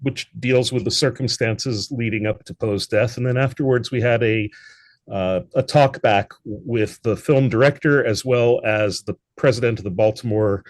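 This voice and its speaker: A man's voice: medium pitch, normal speed, no echo. An articulate, enthusiastic man speaking conversationally as a guest in an interview. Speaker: interview guest, theatre founder and producer.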